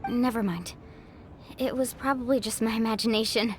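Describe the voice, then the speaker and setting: A woman's voice: a little high, steady tone, moderate pace, slight background noise, natural voice. A woman voicing a confident, caring young adventurer in a video game, easygoing and encouraging. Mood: focused. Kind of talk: video game character acting.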